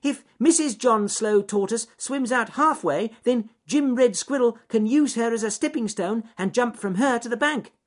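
Casting: tiny fluffy storybook rabbit, male, very high and squeaky, flustered and excitable. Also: moderate pace, few pauses. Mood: excited. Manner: excitable, repetitive exclamations. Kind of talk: children's storybook character voice.

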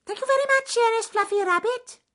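A man's voice: very high voice, fairly quick, sharp tone, pitched above the performer's own voice. A man voicing a tiny, frail male spider in a children's story, with a little wheezy voice and a polite, plaintive manner. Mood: grateful, plaintive.

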